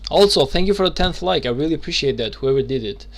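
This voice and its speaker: A man's voice: a little high, lively intonation, quick pace. Rambling young man streaming. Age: 20s. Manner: rambling, chatty, with many restarts and fillers. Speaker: game streamer. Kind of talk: casual live-stream chat.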